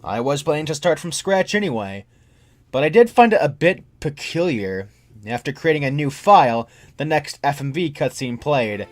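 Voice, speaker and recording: A man's voice: a little high, animated, moderate pace. A man reading a scripted first-person creepypasta story as its narrator. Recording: music under the narration.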